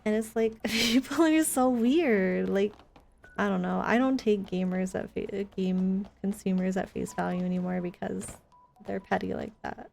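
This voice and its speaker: A woman's voice: mid-range voice, fairly even intonation, a little slow, creaky, a little mellow, a little hiss or hum. A woman chatting casually with her viewers while livestreaming a video game, in a chatty, giggly manner.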